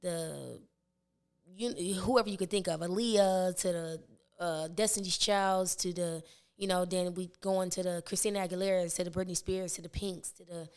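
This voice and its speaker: A young woman with a raspy voice, a touch lower than most women, talks in a loose, rambling way. She fills her sentences with "like" and restarts as she goes. It sounds like a relaxed podcast interview. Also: moderate pace. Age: 20s. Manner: rambling, many fillers and restarts. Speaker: rapper and podcast guest. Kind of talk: casual interview chat.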